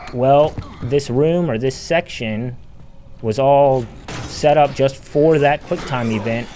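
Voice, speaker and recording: A man's voice: mid-range voice, a little mellow, slight background noise. A man delivering emphatic, opinionated video essay commentary as a game critic.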